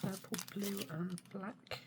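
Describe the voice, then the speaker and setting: A woman with a hoarse, snuffly cold, chatting casually and quietly as she unboxes art supplies, with many hesitations. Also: medium pitch, slow pace. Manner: soft-spoken, often drops to a near whisper; mildly critical, hesitant with many ums. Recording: steady background noise.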